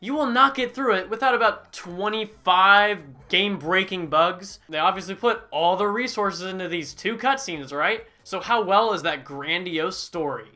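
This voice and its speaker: A sarcastic game reviewer doing a comedic voice-over in a bright, forceful voice. The delivery is mocking throughout and keeps breaking into loud, high-pitched outbursts. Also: moderate pace, hardly any pauses.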